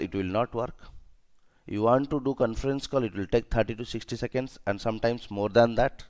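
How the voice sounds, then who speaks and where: A man's voice: medium pitch, a little mellow. A man with an Indian accent giving an instructional lesson as a trainer, speaking emphatically, repeating key points and checking understanding with short questions. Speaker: safety and security trainer.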